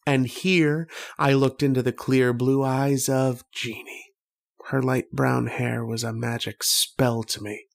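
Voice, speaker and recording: An actor playing a tormented, homesick man trapped in a dream, brooding and halting in an old-time radio horror drama. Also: mid-range voice, steady tone, gravelly. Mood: brooding, dreamy. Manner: brooding, halting, poetic delivery.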